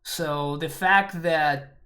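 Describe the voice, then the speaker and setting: A woman's voice: low-pitched, very slow, fairly bright tone. A woman from Argentina, a native Spanish speaker with an Argentine accent, chatting casually and thinking aloud while streaming a card game. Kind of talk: casual gameplay commentary.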